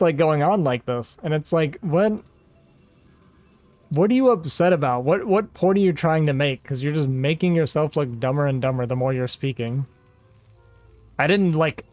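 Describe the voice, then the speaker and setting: A man's voice: a little high, a little mellow, no echo. A man streaming games who chats casually with his viewers, rambling and exasperated and saying "like" a lot. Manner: rambling and exasperated, heavy use of "like". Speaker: game streamer. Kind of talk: casual livestream chat with viewers.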